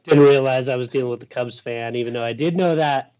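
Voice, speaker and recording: A man's voice: mid-range voice, a little fast, darkish tone, clean tone, dull audio. A man chatting casually and informally as an interview guest, rambling a little as he goes. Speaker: guest, boating news journalist. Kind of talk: casual chat.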